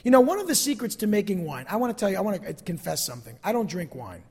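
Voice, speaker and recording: High-voiced male preacher in a reverberant hall. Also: big pitch swings, fast talker, darkish tone, slightly rough. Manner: emphatic, conversational, repeats phrases for emphasis. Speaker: pastor preaching. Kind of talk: sermon to a congregation, closing in prayer.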